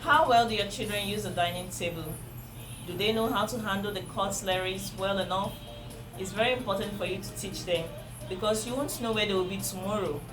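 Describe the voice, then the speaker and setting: A woman's voice: mid-range voice, brisk, poor audio. A woman with a Nigerian accent, a native Igbo speaker, giving earnest parenting advice straight to her viewers and repeating key points for emphasis. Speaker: parenting vlogger. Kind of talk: advice talk to camera. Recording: music playing under the voice.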